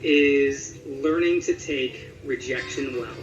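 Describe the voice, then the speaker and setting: A man's voice: mid-range voice, slow pace, lo-fi sound. A man giving a casual interview as a voice actor, talking conversationally and thinking aloud with some hesitation. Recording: roomy echo with music underneath.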